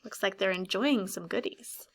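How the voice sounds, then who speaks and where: A woman teacher doing an interactive read-aloud for young children, expressive and instructive, stressing key words and pausing after questions. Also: mid-range voice.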